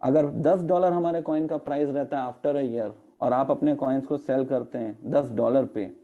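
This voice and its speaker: A man with a hoarse throat presenting in an online meeting, explaining step by step and slipping English terms into his talk, with fillers. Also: medium pitch, fairly even intonation, normal speed, dull audio. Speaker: network marketing presenter. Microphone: video call.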